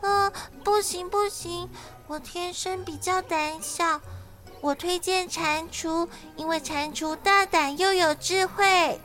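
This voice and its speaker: Woman doing a tiny timid rabbit, very high. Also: steady tone, takes it slowly, a bit bright, soft background music, heavily acted. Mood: nervous. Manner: hesitant, anxious. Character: timid, nervous, quick-witted little rabbit.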